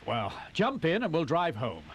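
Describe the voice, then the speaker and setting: On a noisy old cassette, a father in a children's audio drama. His man's voice is fairly high and lively, practical and concerned. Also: moderate pace. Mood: dismissive, practical.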